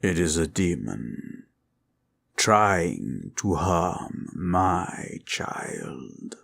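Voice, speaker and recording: A man playing a gentle, protective dragon in a comfort roleplay, speaking formally and tenderly in a solemn, gravelly voice. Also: big pitch swings, very slow, full character voice. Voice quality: deep, gravelly. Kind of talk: roleplay comfort audio acting.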